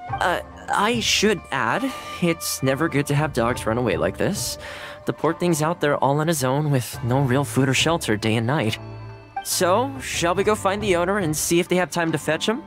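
A man plays a kind young game hero. He talks gently and reassuringly, with very lively pitch movement. Music plays behind him. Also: moderate pace, close to an everyday voice. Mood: helpful, earnest. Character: kind, upbeat young swordsman fond of dogs. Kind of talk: video game character dialogue.

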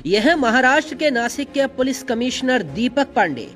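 A woman's voice: sits high, sing-song, moderate pace. A woman reading a scripted news voice-over as a narrator. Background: faint music.

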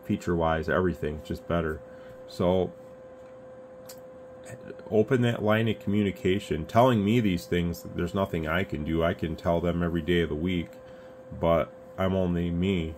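Man, very deep voice, conversational and earnest reviewer, audible background noise. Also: normal speed. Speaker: YouTube radio reviewer. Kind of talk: casual rambling opinion talk to camera. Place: indoor radio workbench room. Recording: clear steady background room noise.

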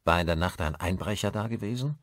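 A man's voice: deep voice, quick pace, dry recording, studio quality. A man narrating a horror story as an audiobook, in a suspenseful, theatrical, scripted manner.

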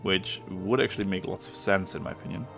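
Casting: German-accented male gamer, very low gravelly voice, chatty, searches for words, faint music under him. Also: a little fast. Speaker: gameplay streamer. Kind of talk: casual let's-play commentary.

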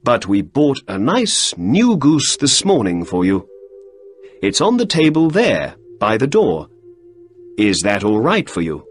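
A man voicing a clever, brisk middle-aged male detective in an audiobook story. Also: fairly low pitch, huge pitch swings, slightly rough, soft background music, full character voice. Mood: apologetic.

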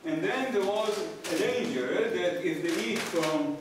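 A man in his sixties with an Israeli accent, a native Hebrew speaker, giving a public lecture as a professor with wry, deadpan asides. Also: high voice, a little slow, recorded from a distance. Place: small lecture room with seated audience, indoors. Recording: echoey room from afar, noisy background.

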